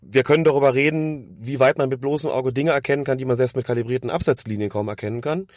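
Quick-talking hoarse man. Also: medium pitch, a little mellow, no echo, clean studio sound. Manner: analytical, rambling explanations. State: hoarse from a cold. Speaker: podcast co-host discussing refereeing. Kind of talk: casual podcast chat.

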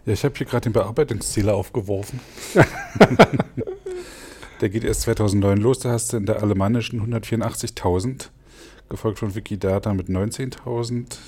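A man's voice: on the low side, steady tone, a little fast, mellow, slight background noise. A male podcast host who asks friendly, curious questions and introduces his guest. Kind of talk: podcast interview.

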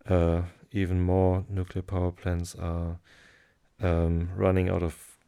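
A German-speaking man with a German accent, hosting a sleep podcast and reading aloud in a low, soothing, hesitant way. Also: creaky, smooth. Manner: hesitant, many fillers, slow calm delivery.

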